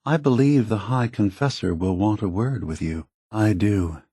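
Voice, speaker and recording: Game android leader: husky, very unhurried, close and dry, weary, grave, measured statesman. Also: lowish voice, warm tone, no room sound. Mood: calm, grateful. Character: weary, solemn android leader of a refuge, male. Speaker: video game character. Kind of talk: scripted game dialogue.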